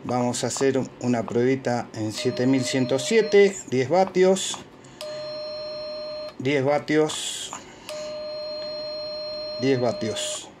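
A man's voice: medium pitch, very slow, long pauses. A man with an Argentine Spanish accent, speaking informally as an amateur radio operator and presenter on a phone recording. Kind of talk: informal equipment demonstration and radio contacts. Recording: clear background noise, handheld phone video.

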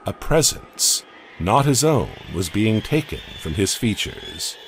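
A man narrating a dark audio comic story in a scripted, dramatic way, often dropping to a hush at the ends of lines. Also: on the low side, big pitch swings, noisy background. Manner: slow, dramatic storytelling with hushed drops.

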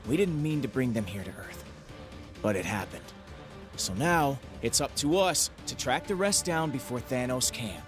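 An actor playing a cocky, earnest young space-hero leader in a video game, with emphatic delivery. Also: male voice, mid-range voice, animated, moderate pace, over music, natural voice. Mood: rousing. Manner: emphatic, speechifying. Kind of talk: video game cutscene acting.

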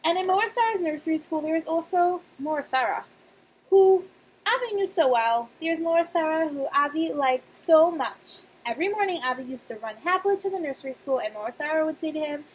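A young woman, a nursery teacher, reading aloud and talking to young children in simple, expressive speech with emphatic pauses. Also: high-pitched, rough recording. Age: young adult. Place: small indoor room. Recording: clear background noise, webcam-style room recording.

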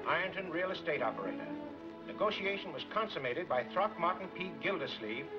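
Actor as a formal townsman, slightly high voice. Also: natural voice. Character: sober small-town man reading newspaper aloud. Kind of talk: 1940s film acting, reading news aloud. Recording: dull old film soundtrack, loud music.

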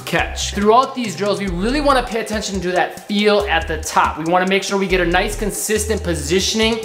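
A man's voice: high-pitched, fairly quick, fairly bright tone. A man in his thirties coaching basketball drills while seated on a couch in a living room, upbeat and demonstrating as he talks. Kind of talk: instructional drill demo. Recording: echoey room with faint music.

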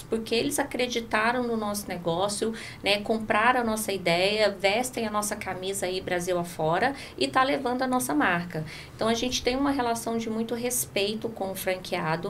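Brazilian woman with clear background noise. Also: on the high side. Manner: frequently seeks agreement with tag questions. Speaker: franchisor guest. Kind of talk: podcast discussion, conversational. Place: indoor podcast studio. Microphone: close studio microphone on boom arm.

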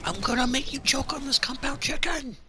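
A young woman chatting with friends while playing an online shooter, loud and playful, yelling silly threats, recorded on a headset with noisy game chat audio. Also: sits high, a little fast. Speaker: gamer.

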